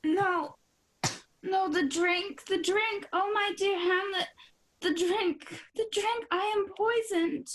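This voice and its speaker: Woman as a high-voiced proud queen. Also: takes it slowly, rough recording. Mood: poisoned, desperate. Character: Queen Gertrude, proud, affectionate mother of a prince. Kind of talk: Shakespearean radio play acting.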